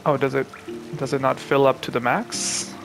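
A young man from Pakistan, hosting a gaming channel, who chats to viewers and thinks aloud as he plays, with excitable reactions. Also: medium pitch, moderate pace, gravelly, soft background music. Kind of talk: live gameplay commentary.

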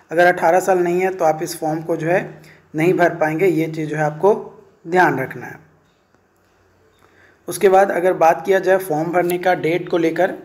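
A man's voice: a little high, darkish tone. A man, an online instructor informally explaining a document, often repeating filler phrases and mixing in English terms. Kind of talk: informal explainer of a recruitment notice.

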